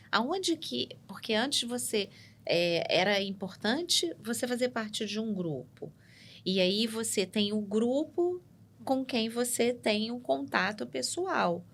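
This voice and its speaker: Woman psychologist explaining calmly. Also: a little high. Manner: measured and explanatory, tags points with "né?". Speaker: psychologist guest. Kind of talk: explanatory interview talk. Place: podcast studio.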